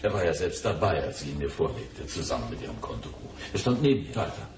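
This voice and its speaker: An actor playing an obliging, precise bank cashier in a crime radio play, explaining things in detail. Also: male voice, extremely low pitch, fast. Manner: animated, explanatory. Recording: roomy echo, clear background noise.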